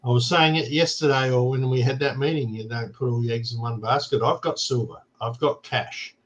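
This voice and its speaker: A man's voice: mid-range voice, steady tone, a little fast, dull audio. An Australian man hosting a casual talk show, explaining things plainly and often checking in with "right?". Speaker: show host. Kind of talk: casual chat.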